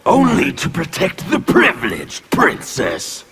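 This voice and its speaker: An actor playing a menacing male anarchist warrior in a video game, with scornful, threatening delivery and a gravelly voice. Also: a little high, wildly swooping pitch, takes it slowly, very noisy, heavily acted. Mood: defiant. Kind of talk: video game intro dialogue.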